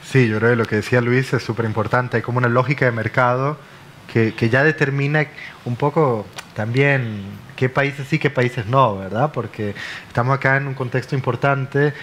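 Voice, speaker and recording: Man, panel speaker, measured pace, mid-pitched voice, faint background noise. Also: fairly even intonation, fairly bright tone. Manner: measured, frequent tag questions. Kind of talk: panel discussion on literature.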